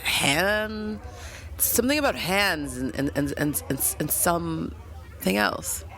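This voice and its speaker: A woman's voice: fairly low pitch, lively intonation, fairly bright tone, slight background noise, exaggerated voice. A woman role-playing a vain sci-fi doctor, now humbled and confused, speaking hesitantly in a tabletop game. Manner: hesitant, halting. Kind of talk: improvised tabletop role-play.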